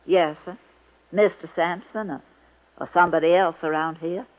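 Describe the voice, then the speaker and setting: A performer playing a timid, earnest, gentle young servant woman, hesitant and deferential, in a 1950s radio drama. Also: fairly high pitch, fairly even intonation, moderate pace, close to an everyday voice. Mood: anxious. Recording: tinny, phone-like old radio recording.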